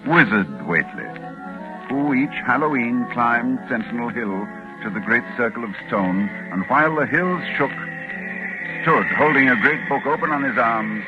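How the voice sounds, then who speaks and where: An actor playing a grave, learned doctor who narrates in formal, ominous 1940s radio style. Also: male voice, medium pitch, lively intonation, normal speed, close to an everyday voice. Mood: ominous, urgent. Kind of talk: 1940s radio acting, dramatic scripted narration. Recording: muffled, narrow vintage 1940s radio, music.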